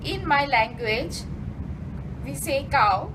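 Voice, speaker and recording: A woman, a Bengali speaker, introducing herself slowly and carefully. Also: high-pitched, expressive. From India. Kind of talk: short self-introduction. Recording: noisy background hiss.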